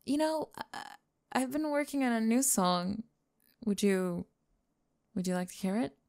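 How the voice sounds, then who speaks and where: Woman acting as sweetly smitten young female pop star, slow pace, slightly creaky, breathy, hesitant, ASMR romance roleplay. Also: on the high side, expressive. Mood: shyly excited. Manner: soft-spoken, breathy, giggly and hesitant.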